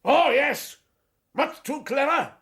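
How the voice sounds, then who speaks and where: An actor in a radio drama playing an agitated, fearful householder, excitable and emphatic, often repeating himself. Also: male voice, extremely high pitch, slow, heavily acted. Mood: defiant, cunning. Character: agitated, fearful householder, boastfully cunning, guarding his money.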